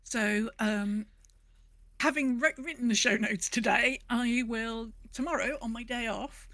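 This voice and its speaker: A woman's voice: on the high side, measured pace, a bit bright, no echo. A woman hosting a casual gaming podcast, talking in a rambling way and often interrupting herself. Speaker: podcast host.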